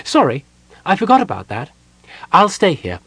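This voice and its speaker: A man reading an audiobook, voicing an excitable young male kender with a high, chirpy, quick voice. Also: breathy edge, no echo, exaggerated voice, poor audio. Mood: eager.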